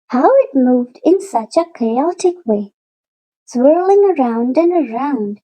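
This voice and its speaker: A woman reading a children's picture book aloud in an expressive storytelling manner, with deliberate, drawn-out emphasis. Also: high voice, poor audio. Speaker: storybook read-aloud narrator.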